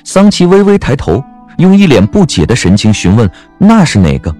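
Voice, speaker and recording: A man narrating a scripted story in a wry, expressive manner. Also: mid-range voice, moderate pace, faint music behind.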